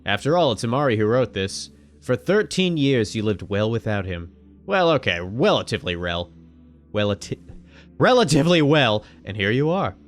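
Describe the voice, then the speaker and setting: A man hosting a let's-play video, reading a game's text aloud and joking, swearing casually and jumping into sudden loud high-pitched exclamations. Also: very animated, normal speed, faint music behind. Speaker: gaming video host.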